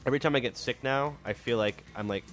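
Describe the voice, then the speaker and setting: A man's voice: medium pitch, fast, a bit bright, faint music behind. A man hosting a podcast, chatting casually and rambling while phlegmy from a cold. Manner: rambling, hesitant restarts. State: phlegmy, getting over a cold. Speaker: podcast host. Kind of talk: casual chat.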